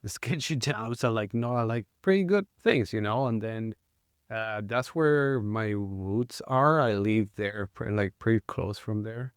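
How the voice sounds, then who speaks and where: A man in his thirties with a Chilean accent and a smooth, low-pitched voice. He talks in a casual interview, halting, with many fillers and restarts. The sound is dry and close to the mic. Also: no room sound. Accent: Chilean Spanish. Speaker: guest, mountain bike guide.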